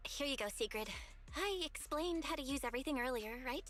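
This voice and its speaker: A woman's voice: high-pitched, expressive, fairly quick, a bit bright. An actress playing a confident young female tech whiz in an anime game, with a casual, matter-of-fact delivery. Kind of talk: scripted anime game acting. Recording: game music behind the voice.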